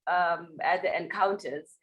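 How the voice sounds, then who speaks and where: A woman with a South African accent, a documentary director, answering in a reflective way with many pauses and fillers. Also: on the low side, fairly even intonation, highs cut off. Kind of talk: online festival Q&A.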